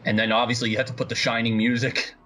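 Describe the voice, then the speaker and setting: A man's voice: mid-range voice, little pitch movement, fast talker, sharp tone. A man who acted in the film, talking casually on a cast commentary.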